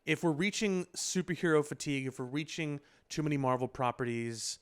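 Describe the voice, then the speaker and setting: A man's voice: fairly high pitch, fairly quick, smooth. A man co-hosting a casual pop culture discussion, talking in a discursive, opinionated way with elaborate wording. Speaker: podcast co-host.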